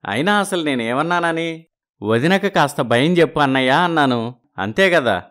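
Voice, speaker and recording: A man voicing a proud but soft-hearted village brother and husband in an animated moral story. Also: on the high side, moderate pace. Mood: aggrieved.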